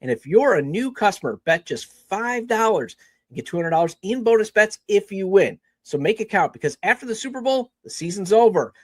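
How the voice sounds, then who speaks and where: High-voiced man reading a podcast ad. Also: sing-song, a little fast, no room sound. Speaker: sports podcast host reading promos. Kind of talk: scripted ad read.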